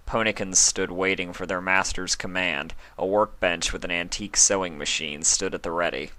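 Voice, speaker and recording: A man narrating a fan fiction story in a calm, steady storytelling manner. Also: deep voice, dry recording. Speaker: reader.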